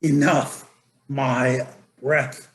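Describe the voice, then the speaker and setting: A man's voice: mid-range voice, flat delivery, extremely slow, heavy background noise. A man playing an exhausted, breathless traveler in a fantasy script table read.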